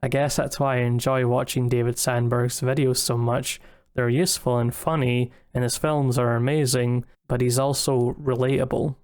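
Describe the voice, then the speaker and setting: A young man giving a scripted, reflective voice-over about his own work in a measured, candid way. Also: medium pitch, flat delivery, darkish tone, clear, resonant tone. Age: young adult. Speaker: student filmmaker narrating own video. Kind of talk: scripted retrospective voice-over narration.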